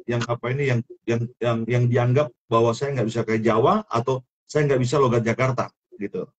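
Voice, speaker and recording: A man's voice: medium pitch, steady tone, muffled recording. A middle-aged man with a strong Sulawesi accent, telling his life story as an interview guest, often repeating and restarting his words. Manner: repetitive, halting, many restarts. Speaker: guest, former film actor turned minister. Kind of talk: testimony interview.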